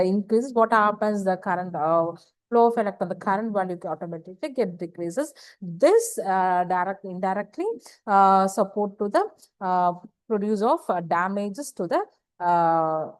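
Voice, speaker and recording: A woman gives a slide lecture, halting and repeating phrases, often asking questions and answering them herself. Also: mid-range voice, no echo. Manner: halting, repetitive, asks and answers own questions. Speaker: engineering lecturer. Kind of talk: slide lecture explaining technical material.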